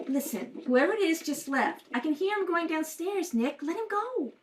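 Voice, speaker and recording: Woman acting a high-pitched, inquisitive sleuth's wife. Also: expressive, normal speed. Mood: anxious. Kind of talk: amateur radio mystery read-through. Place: at a kitchen table at home.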